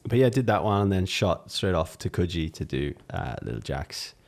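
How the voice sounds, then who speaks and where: A man's voice: low voice, big pitch swings, fairly quick, gravelly, darkish tone. A man, co-hosting a casual podcast, rambling and hesitant. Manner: rambling, hesitant, lots of fillers and laughs. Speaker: podcast co-host, musician. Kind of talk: casual chat.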